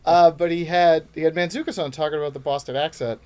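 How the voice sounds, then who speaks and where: Rambling man with a slightly high voice. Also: fairly even intonation, darkish tone, clear, resonant tone. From New York City. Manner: rambling, self-interrupting, quick to act out quotes. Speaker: guest comedian. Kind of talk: casual podcast chat.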